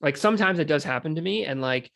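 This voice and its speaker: A man chatting casually and explaining how he works, in an informal, halting way peppered with filler words. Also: a little high, lively intonation, creaky, fairly bright tone. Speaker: real estate wholesaler in conversation. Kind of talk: casual chat.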